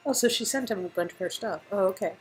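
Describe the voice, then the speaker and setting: A woman's voice: medium pitch, fast. A woman co-reading a story game, chatting casually in a playful, amused way.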